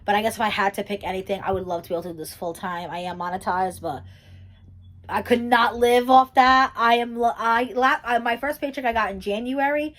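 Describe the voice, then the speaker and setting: A young woman chatting to her camera through a question-and-answer video. She rambles freely, circling back with fillers and restarted sentences in an easygoing, conversational way. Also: mid-range voice. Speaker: YouTuber and teacher. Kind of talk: casual chatty Q&A vlog.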